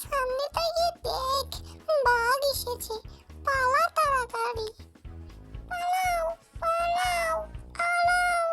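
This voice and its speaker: A woman voicing a tiny, excitable, squeaky animal youngster in a children's cartoon. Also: slow, pauses often, soft background music, heavily acted. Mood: alarmed.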